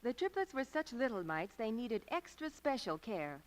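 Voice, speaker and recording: A woman's voice: a little high, sing-song, normal speed. A woman performing scripted 1940s radio advertising, on an old broadcast recording. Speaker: radio commercial announcer's partner.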